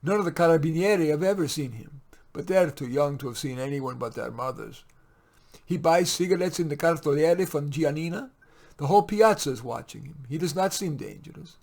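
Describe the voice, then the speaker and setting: Male reader doing an older italian-accented maid, gossipy and teasing, higher pitched, loud. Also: brisk, gravelly. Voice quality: giggly, animated. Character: chatty Italian housemaid, older woman, teasing.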